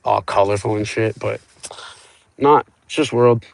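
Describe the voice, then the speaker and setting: A man's voice: lowish voice, measured pace, vocal fry, no room sound. Profane, rambling Cuban man on the water. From Cuba. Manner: profane, rambling, stoned banter with viewers. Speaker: livestreamer. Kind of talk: rambling livestream chat. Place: outdoors on open water in a small boat. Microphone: phone.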